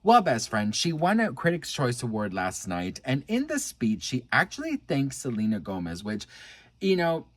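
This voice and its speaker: American man, casual vlog chat, gushing and earnest, medium pitch, close dry sound. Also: huge pitch swings, normal speed, dry recording. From United States. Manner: gushing, earnest, addresses viewer as best friend. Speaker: vlogger commenting on celebrity news.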